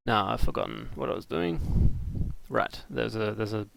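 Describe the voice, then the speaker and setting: A man giving a casual, unscripted software tutorial, thinking aloud in a halting, hesitant way with dry, self-deprecating humour. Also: on the low side, animated, creaky, a little hiss or hum, rough recording. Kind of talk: casual unscripted screen-recorded software demo.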